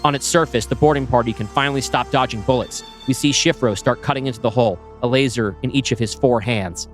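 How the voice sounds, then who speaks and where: A man reading his screenplay aloud, with brisk scene-setting narration. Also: medium pitch, faint music behind. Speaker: writer pitching a screenplay.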